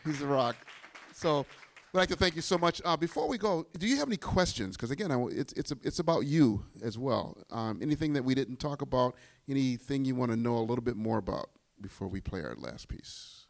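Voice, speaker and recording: A man's voice: mid-range voice, animated, brisk, few pauses. A middle-aged man, a bandleader and composer, talking casually and animatedly to a live audience, often restarting his sentences.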